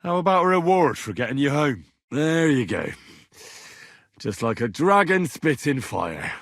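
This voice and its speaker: An earnest young medieval commoner for a video game. His voice is husky and swings expressively, with a cheeky, dogged, bantering way of speaking. The recording is close and dry. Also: a little high, very animated, a little slow, fairly bright tone, no room sound, heavily acted. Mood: exasperated. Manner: colloquial, questioning, bantering. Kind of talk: video game character dialogue.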